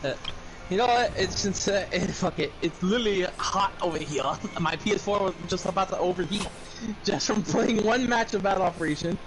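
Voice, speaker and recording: A man's voice: sits high, brisk. A man chatting casually and swearing often in a game stream's voice chat. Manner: frequent swearing. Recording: clearly noisy voice chat.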